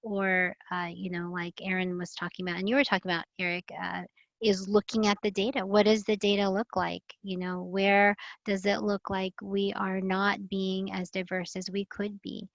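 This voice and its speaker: A woman's voice: mid-range voice, flat delivery, moderate pace, vocal fry, no room sound. Woman panel guest, earnest and reflective, dry lo-fi call audio. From Philippines. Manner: earnest, reflective, many fillers. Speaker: guest, health and safety expert. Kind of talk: live online panel talk. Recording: dull lo-fi video call audio.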